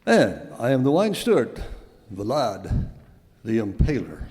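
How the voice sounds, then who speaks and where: In a reverberant hall, a man acts out a courtly vampire wine steward with a mellow, swooping voice. He is menacing and formal, in a hammy amateur radio skit. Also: medium pitch, very animated, slow. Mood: courtly menace. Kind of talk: amateur live comedy horror radio skit. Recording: echoey hall, long reverb.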